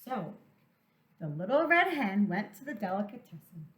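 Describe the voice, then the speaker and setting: A woman reading a storybook aloud to young children as a storytime teacher, theatrical and deliberate, drawing out words for emphasis. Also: mid-range voice, big pitch swings. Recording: echoey room sound.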